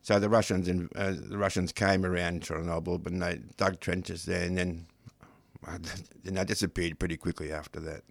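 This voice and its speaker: A man raised in Australia with Ukrainian as his first language, a poet talking in a relaxed, halting way on a radio interview. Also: low-pitched, vocal fry, no echo. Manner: relaxed, halting, many restarts. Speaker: poet guest. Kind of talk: radio interview, reading haiku.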